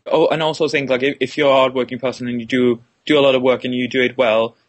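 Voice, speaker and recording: A man's voice: medium pitch, level tone, fast. Man, twenties, Finnish accent, non-native English, hesitant, repeats words, interview answers. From Finland. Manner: hesitant, repeats words, many "kinda like" fillers. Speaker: illustration student, interviewee.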